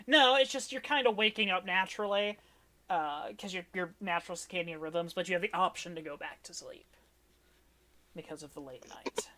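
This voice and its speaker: Low-voiced woman game master, casually narrating. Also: moderate pace, a bit bright, a little hiss or hum.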